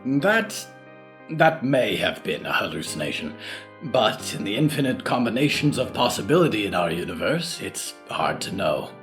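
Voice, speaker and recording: An actor playing a gentle, cautious mentor in an audio drama, speaking in a measured, halting, courteous way. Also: male voice, lowish voice, very animated, faint music behind. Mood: thoughtful, grave. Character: gentle, cautious confined mentor with odd scholarly musings. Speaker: teacher to a student.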